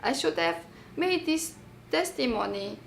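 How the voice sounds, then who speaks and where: A woman with a Romanian accent reads her own personal testimony essay in an emphatic, deliberate, rhetorical manner. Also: on the high side, very animated, gravelly. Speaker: writer reading own work. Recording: roomy echo, faint background noise.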